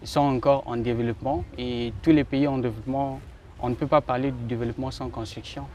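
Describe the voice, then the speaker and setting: A man's voice: mid-range voice, level tone, quick pace, a little mellow. Casting: man from Congo, architecture student, thoughtful and hesitant, street noise behind. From Republic of the Congo. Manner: thoughtful, hesitant with many fillers. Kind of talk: interview answers. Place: outdoors in a city.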